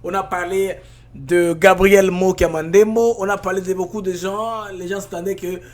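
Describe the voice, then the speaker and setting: Brief: male talk show host, slightly higher voice, animated and probing. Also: moderate pace. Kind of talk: political talk show interview.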